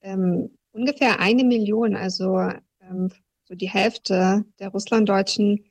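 A woman in her thirties, a journalist from Kazakhstan who grew up in southwest Germany, giving a reflective, measured personal account in an interview. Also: mid-range voice, fairly even intonation, darkish tone. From Kazakhstan, raised in southwest Germany.

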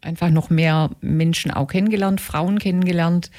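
Low, mellow-voiced woman in her sixties. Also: normal speed. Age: 60s. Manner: relaxed, frequent ähm fillers. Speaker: group member. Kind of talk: casual interview talk.

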